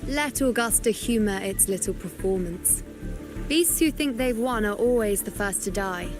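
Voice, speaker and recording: An actress voicing a shrewd, confident huntress in a video game, measured and slightly scornful. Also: female voice, medium pitch, close to an everyday voice. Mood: cool confidence. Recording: music behind.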